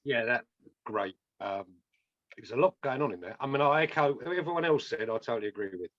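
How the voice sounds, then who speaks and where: A man's voice: mid-range voice, lively intonation, a little fast, a bit bright, dry recording. A man giving an enthusiastic and encouraging review in a casual chat. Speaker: guest reviewer. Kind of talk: casual video call chat. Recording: dull lo-fi mic.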